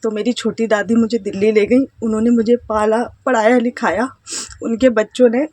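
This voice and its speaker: A woman in her thirties from India speaks to her viewers from home in a fairly high voice. She talks in a hesitant, halting way, trailing off and restarting her phrases. The sound is dry and close, with no room echo. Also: flat delivery, darkish tone. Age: 30s. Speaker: vlogger, housewife. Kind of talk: casual personal storytelling to camera.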